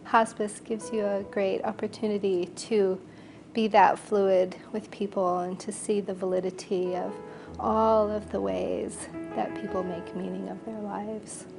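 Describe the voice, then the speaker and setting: A woman nurse from Northern California telling her story with gentle pauses in an interview. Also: medium pitch, flat delivery, creaky, over music. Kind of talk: reflective interview testimony.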